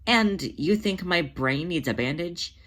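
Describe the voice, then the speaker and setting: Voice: medium pitch, animated, a little slow, a bit bright, pitched above the performer's own voice. Narrator acting out an anxious, defensive she-cat. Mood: defensive, indignant. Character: Demeter, anxious, wounded, defensive young female cat.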